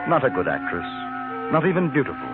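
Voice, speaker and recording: Actor as English correspondent narrator, lively intonation, polite, wry, measured, loud music, old radio. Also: male voice, medium pitch, natural voice. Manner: measured, wry, articulate storytelling. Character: polite, observant English newspaper correspondent out West. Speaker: narrator and lead character. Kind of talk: 1950s radio drama narration and acting. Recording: narrow vintage radio, loud music behind.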